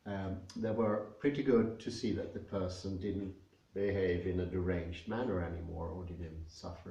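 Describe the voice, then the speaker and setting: A man's voice: low voice, gravelly, darkish tone. Swedish-accented man lecturing in an echoey room. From Sweden. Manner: thoughtful, pausing with fillers. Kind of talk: spoken lecture. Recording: echoey room, mic at a distance.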